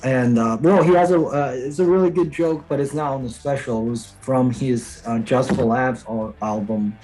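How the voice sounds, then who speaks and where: A man's voice: mid-range voice, normal speed, faint music behind, rough recording. Chinese-accented man chatting hesitantly. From China. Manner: hesitant, many fillers, animated storytelling. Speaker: guest comedian. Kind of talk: casual chat.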